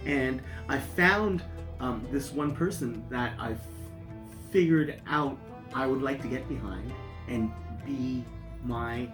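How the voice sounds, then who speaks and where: A man in his fifties, a guest musician, talking in a reflective, halting way with many restarts. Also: medium pitch. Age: 50s. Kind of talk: casual conversation. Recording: roomy sound with guitar music behind.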